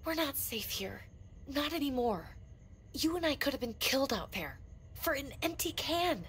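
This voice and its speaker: A woman's voice: high-pitched, very animated, normal speed, a bit bright, a little hiss or hum. An actress playing a weary, frightened woman survivor in a video game drama, blunt and emotionally raw. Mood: determined, urgent. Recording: music behind the voice.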